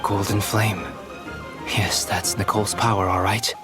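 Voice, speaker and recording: A man playing a calm, reassuring ally in a video game cutscene, speaking evenly over music. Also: fairly low pitch, a little slow, natural voice. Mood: steady. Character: steady, reassuring male ally. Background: background music.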